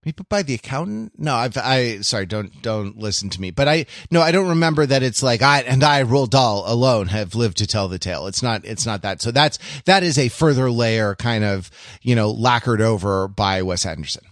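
A man's voice: mid-range voice, expressive, moderate pace, no room sound. Male podcast co-host, medium register, casual and tentative, revising as he goes, closely dry sound. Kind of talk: casual film discussion.

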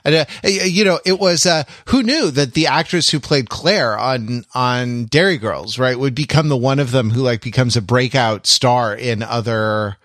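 A man's voice: mid-range voice, normal speed, hardly any pauses, fairly bright tone, no room sound. Dry, wry man musing aloud. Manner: digressive, wry, thinks aloud. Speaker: podcast co-host. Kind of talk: casual pop-culture discussion.

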